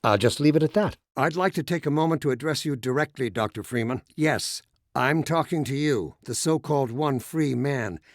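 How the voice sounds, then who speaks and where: An actor playing a pompous, authoritarian male administrator in a video game, giving measured, formal, oratorical speeches. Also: mid-range voice, dry recording, clean studio sound, natural voice. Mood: stern warning, then bitter reproach. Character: pompous authoritarian male administrator, polished collaborator addressing his subjects.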